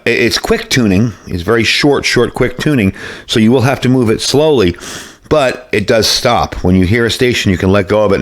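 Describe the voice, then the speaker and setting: Male product reviewer, slightly deep voice, conversational and folksy, casual hands-on demo.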